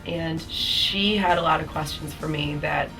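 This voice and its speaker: A woman's voice: deep voice, steady tone, unhurried, bright, forceful tone, distant mic, faint music behind, rough recording. A woman in her twenties telling her own cancer story in a casual, chatty way, with many fillers. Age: 20s. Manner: chatty, with frequent fillers and small laughs. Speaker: cancer survivor giving a testimonial. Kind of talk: casual personal testimonial.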